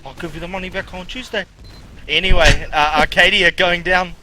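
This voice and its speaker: An excitable New Zealand man calls the play-by-play with a very bright, piercing tone. His voice sits somewhat high and he slips jokes between the calls. Faint noise runs behind the whole recording. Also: moderate pace, low-quality recording. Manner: excitable, jokey. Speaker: esports co-caster.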